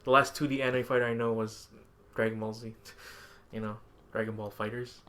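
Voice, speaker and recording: A man podcast host chatting casually about video games and anime, animated and swearing freely, often acting out his own reactions. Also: medium pitch, a little fast. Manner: animated, profane, frequently quoting himself.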